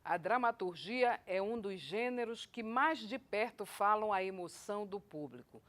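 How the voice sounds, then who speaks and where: A woman with a Brazilian accent presenting a programme from a formal script. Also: mid-range voice.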